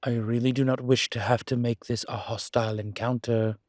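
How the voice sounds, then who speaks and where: A man playing a weary, earnest incubus demon in a dramatic audio roleplay, pleading diplomatically in a measured, halting way with dry asides. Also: mid-range voice, level tone, darkish tone, dry recording, lightly acted. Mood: firm, coaxing.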